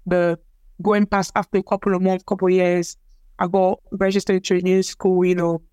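Soft-spoken young woman, from Cameroon, French native. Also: fairly low pitch, level tone, normal speed, smooth, no room sound. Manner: hesitant, repeats words, many fillers. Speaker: interviewee, athlete. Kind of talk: casual personal storytelling.

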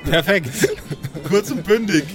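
A man's voice: sits high, expressive, a little slow, over music. A man hosting a tabletop role-play casually as game master.

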